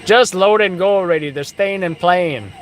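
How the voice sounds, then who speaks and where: A man's voice: high-pitched, normal speed. A man hosting a casual live commentary, chatting and explaining police radio codes while watching footage. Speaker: host.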